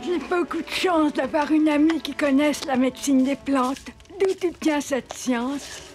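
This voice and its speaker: Casting: sick old woman, high voice, inquisitive, faint music behind. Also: steady tone, unhurried, darkish tone. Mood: grateful, curious. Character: elderly, grateful, inquisitive female friend, ailing. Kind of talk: French-dubbed children's cartoon acting.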